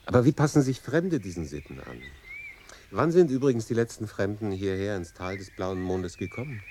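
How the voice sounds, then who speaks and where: A man's voice: fairly low pitch, expressive, normal speed, dark tone, a little hiss or hum, close to an everyday voice. An actor in his late thirties playing a cool, urbane English consul in a German radio drama, thoughtful, dry and understated. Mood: curious, probing. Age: late 30s.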